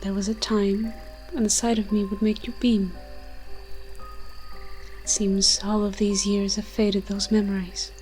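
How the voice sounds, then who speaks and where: A woman's voice: mid-range voice, little pitch movement, a little slow, a little mellow, soft background music, close to an everyday voice, low-quality recording. A woman voicing an ancient, moss-covered forest demon in an audio roleplay, tender and protective, speaking in solemn, poetic, archaic storytelling phrases. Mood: solemn, mysterious.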